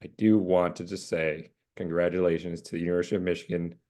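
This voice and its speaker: Husky-voiced man hosting a webinar. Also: low voice, flat delivery, fast, warm tone. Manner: jokey, loose, filler-heavy. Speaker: interview host. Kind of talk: hosted webinar interview.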